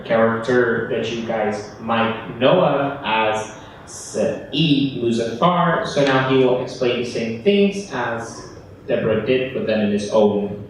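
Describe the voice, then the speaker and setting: A man's voice: medium pitch, measured pace. Brief: young male host of a live panel, casual, heard from far off in a very echoey hall. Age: young adult. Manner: informal, apologetic asides. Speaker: host of a student panel event. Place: large hall at a live event. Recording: echoey room from far off, faint noise.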